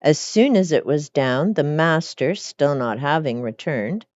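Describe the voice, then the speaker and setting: A woman's voice: deep voice, expressive, measured pace, darkish tone, no echo, lo-fi sound. A woman reading a storybook aloud expressively, with casual, chatty asides. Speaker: storyteller reading fairy tales.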